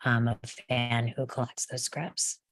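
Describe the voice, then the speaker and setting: Low-voiced woman, very dry sound. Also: flat delivery, measured pace, no echo. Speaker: voice actor. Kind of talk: casual chat.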